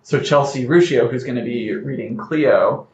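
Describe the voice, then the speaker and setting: A man's voice: medium pitch, normal speed, darkish tone. Articulate man in a roomy, dull recording. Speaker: playwright guest. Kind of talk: conversational interview answers, anecdotal storytelling. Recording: roomy, slightly dull sound with faint noise.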